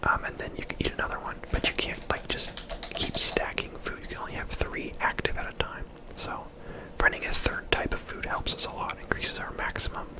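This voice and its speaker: Casting: ASMR game streamer, fully whispered, casual and chatty play-by-play. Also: low-quality recording.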